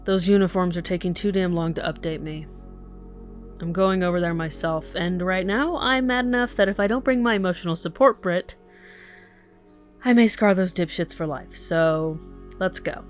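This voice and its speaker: A woman's voice: lowish voice, normal speed, soft background music, natural voice. Woman acting a hard-nosed, sarcastic police detective. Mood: irritated, commanding. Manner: blunt, sarcastic, profane. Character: angry, foul-mouthed, hard-nosed female police detective. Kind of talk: audio drama acting.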